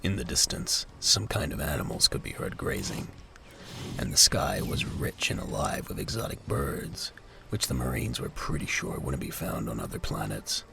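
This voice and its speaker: A man's voice: very deep voice, big pitch swings, normal speed, vocal fry, background noise. A man reading scripted narration for a military audio drama as its narrator. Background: music.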